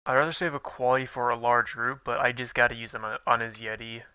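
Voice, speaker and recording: A man's voice: flat delivery, fast talker, bright, forceful tone, clear, resonant tone, dry recording. A young man with a stuffy nose, casually thinking aloud while commentating a card game. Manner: thinking aloud, low-key. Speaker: gameplay commentator. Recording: dull lo-fi recording.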